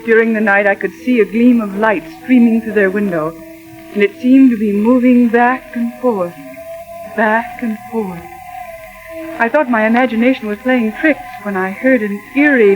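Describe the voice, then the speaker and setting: An actress playing an anxious, loyal secretary in 1940s radio drama, with a breathless, pleading, melodramatic delivery. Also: female voice, a little high, normal speed, close to an everyday voice, rough recording. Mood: haunted, fearful narrating. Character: young woman secretary, anxious, loyal, fearful of murder. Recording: thin vintage 1940s radio recording, organ music.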